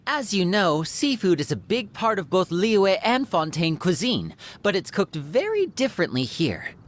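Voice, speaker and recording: A man's voice: sits high, wildly swooping pitch, unhurried, full character voice. An actor playing a suave, courteous young male magician in a video game, with a polished, theatrical manner. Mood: amused, polite.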